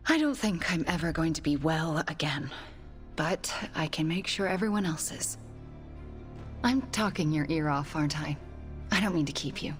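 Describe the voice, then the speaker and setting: A woman playing a guilt-ridden, determined young sorceress in a video game cutscene, speaking softly and earnestly with breathy phrase endings. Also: low voice, normal speed, soft background music, natural voice. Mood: wistful.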